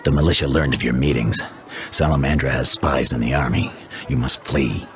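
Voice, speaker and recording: An actor playing a loyal, tough rebel leader in a video game, grave and blunt. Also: male voice, a bass voice, fairly even intonation, moderate pace, a bit bright, soft background music. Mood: grave warning.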